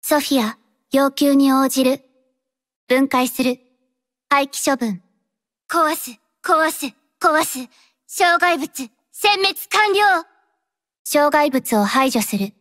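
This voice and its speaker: A reserved young mercenary girl from an anime-style game, with a high, light voice. She speaks slowly in short, halting phrases. Her delivery is quiet, flat and earnest, dutiful to the end. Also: exaggerated voice. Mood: businesslike, calm, then determined. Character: reserved, dutiful young female mercenary robot-arm mechanic. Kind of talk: Japanese anime-style mobile game voice lines.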